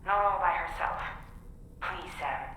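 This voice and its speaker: A woman's voice: on the low side, measured pace, pushed and bright. A woman playing an anxious, caring crewmate in a video game, earnest and urgent. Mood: worried, pleading. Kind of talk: dramatic video game cutscene acting. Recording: very echoey with faint background noise.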